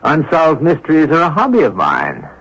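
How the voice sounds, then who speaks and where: A man acting in a radio mystery drama as a shrewd, curious amateur sleuth with a measured, analytical manner. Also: a little high, huge pitch swings, fairly bright tone, slightly rough, exaggerated voice. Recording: dull, band-limited radio drama recording.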